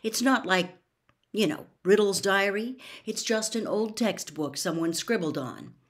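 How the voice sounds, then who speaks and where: A woman's voice: mid-range voice, unhurried, natural voice. A woman voicing a defensive, easily irritated teenage schoolboy in a storybook, sounding dismissive and indignant. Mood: defensive, reassuring.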